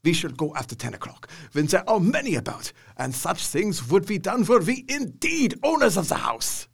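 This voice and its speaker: A man's voice: high-pitched, very animated, normal speed, gravelly, husky, exaggerated voice. A man doing an earnest old professor. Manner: earnest, reasoning, foreign grammar. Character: older male vampire hunter professor, earnest and learned. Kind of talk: radio drama acting.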